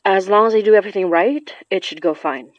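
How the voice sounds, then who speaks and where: An actress playing a young woman who works magic, tired, wry and protective, with a brisk, matter-of-fact delivery in a naturalistic audio drama. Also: mid-range voice, no room sound, lo-fi sound, lightly acted. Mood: defensive, weary.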